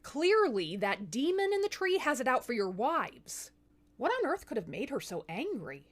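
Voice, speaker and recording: Woman acting a sassy, shrewd young wife, lively. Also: high voice, normal speed, pitched above the performer's own voice. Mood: probing. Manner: sassy, direct, modern slangy delivery. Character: shrewd, bold young human wife, negotiating firmly.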